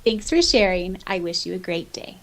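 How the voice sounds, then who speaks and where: A woman's voice: on the low side, animated, normal speed, slight background noise. A woman co-hosting a podcast in a casual chat, reading out listener comments and reacting with giggly enthusiasm. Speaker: podcast co-host.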